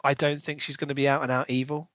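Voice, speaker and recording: A man's voice: medium pitch, flat delivery, fast, fairly bright tone, no room sound, clean studio sound. A man co-hosting a podcast, chatting casually and giving opinions about a book. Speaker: podcast co-host.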